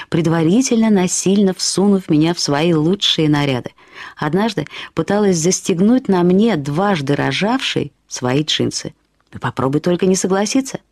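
An actress narrating a short story as a woman fondly and ironically recalling a friend, with expressive, slightly husky delivery. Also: lowish voice, no echo, clean studio sound. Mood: fond, wry. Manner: acts out quoted remarks with lively intonation. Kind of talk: expressive literary storytelling, first-person reminiscence.

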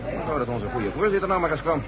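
An actor playing a brisk, blunt male army general in an old radio play. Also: fairly high pitch, lively intonation, close to an everyday voice. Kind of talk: 1970s radio drama acting.

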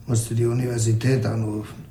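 A man playing a curt, gruff middle-aged uncle. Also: medium pitch, little pitch movement, fast talker, mellow, echoey room, close to an everyday voice. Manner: curt, clipped sentences dropping pronouns. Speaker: uncle character. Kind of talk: 1980s radio drama acting.

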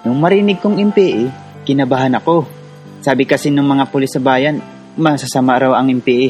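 A man's voice: medium pitch, brisk, darkish tone, soft background music, natural voice. A man with a Filipino accent playing an earnest, curious, polite young villager in a radio drama. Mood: reflective, earnest. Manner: respectful, polite. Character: earnest, curious, polite poor rural young man recounting his past. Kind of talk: radio drama acting and narration in Tagalog.

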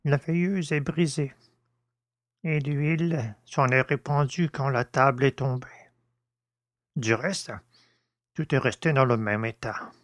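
A man's voice: medium pitch, slow pace, pauses often, no echo, clean studio sound, close to an everyday voice. A man playing a fussy, plain-spoken old household servant in an audiobook. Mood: plain, explanatory. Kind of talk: audiobook character dialogue.

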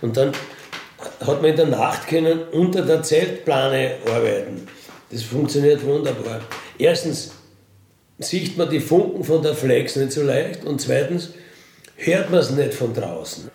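A middle-aged man with a Bavarian accent tells first-person anecdotes casually, rambling along with colloquial tag questions and throat clearing. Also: mid-range voice, expressive, unhurried, darkish tone. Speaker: storyteller, self-described burglar.

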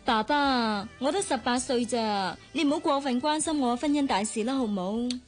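Actress doing cheeky hong kong girl of 18 in a radio play, high voice, pert and teasing, bit of music behind. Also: moderate pace, close to an everyday voice. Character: cheeky, independent eighteen-year-old daughter working as secretary. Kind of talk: Cantonese radio drama acting.

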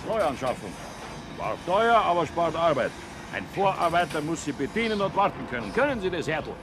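An actor playing a demanding construction boss in a radio play, curt and authoritative. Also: male voice, a little high, sing-song, a little fast, background noise. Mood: brisk, demanding. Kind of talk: naturalistic radio play acting.

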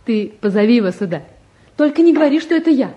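An actress playing a calm young woman in a naturalistic drama, composed and lightly ironic as she probes and teases. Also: fairly high pitch, fast, highs cut off, lightly acted. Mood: friendly, curious. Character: calm, gently teasing young woman photographer visiting. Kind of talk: naturalistic stage and radio drama acting.

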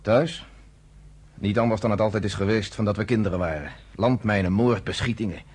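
A man's voice: fairly low pitch, fairly quick, darkish tone, husky. A man in his thirties playing a blunt, commanding underground leader in a radio play. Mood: bitter. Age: 30s.

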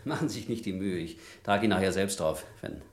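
An actor playing a brazen, mock-jovial criminal on the run with a mocking, menacing edge in a vintage radio crime drama. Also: male voice, sits low, a little fast. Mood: falsely hearty. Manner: mocking, falsely hearty, chuckling. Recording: echoey vintage radio play studio.

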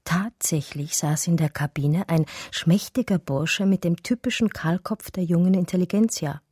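Radio drama lead: romantic female intellectual, lowish voice, breathy edge, measured and intimate storytelling. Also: mellow, no room sound, clean studio sound. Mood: entranced, intimate. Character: romantic, daydreaming female art historian recalling an infatuation. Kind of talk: radio drama first-person narration, literary.

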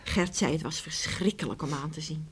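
A woman's voice: deep voice, moderate pace, slight background noise, close to an everyday voice. A woman playing a concerned, plain-spoken village neighbour in a radio drama, talking in a subdued, sighing, rustic way. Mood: grave, concerned.

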